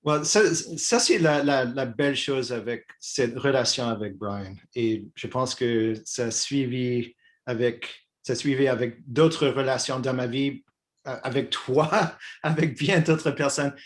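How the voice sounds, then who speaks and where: A man in his sixties from Quebec, as a guest in a relaxed dialogue, hesitating and restarting his phrases often. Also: mid-range voice. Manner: halting, many restarts and fillers. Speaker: guest, peace activist. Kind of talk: conversational dialogue.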